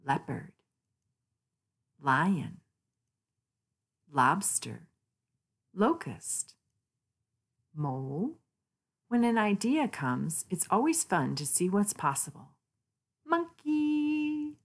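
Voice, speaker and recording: Very slow, expressive woman reading aloud. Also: mid-range voice. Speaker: read-aloud channel host. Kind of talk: children's picture book read-aloud.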